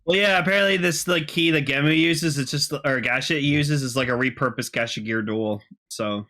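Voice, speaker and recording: A man's voice: medium pitch, moderate pace, sharp tone. A man co-hosting a podcast, chatting informally and often repeating his words. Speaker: podcast co-host. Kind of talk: casual chat.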